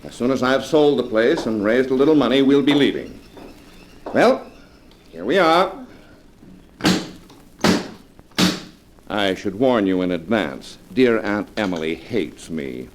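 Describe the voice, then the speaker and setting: An actor in an old-time American radio drama playing a sardonic heir returning to his family mansion, theatrical and smoothly mocking. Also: fairly low pitch, lively intonation, moderate pace, lightly acted. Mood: cool, mocking. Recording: noisy vintage radio recording.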